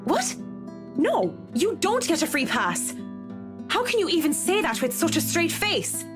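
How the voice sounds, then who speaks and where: A woman's voice: extremely high pitch, sing-song, unhurried, voice pushed up, heavily acted. A woman voicing an indignant, firm young woman from a visual novel, stern and scolding, with music behind. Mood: outraged. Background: game music with guitar.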